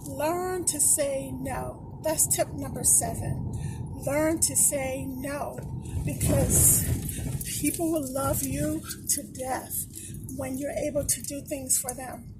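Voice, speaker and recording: A woman with a hoarse voice she says she has partly lost, giving heartfelt motivational tips in a slow, encouraging way to a phone camera. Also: sits high, steady tone, very noisy. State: hoarse, losing her voice. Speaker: motivational vlogger. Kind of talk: encouraging casual vlog talk.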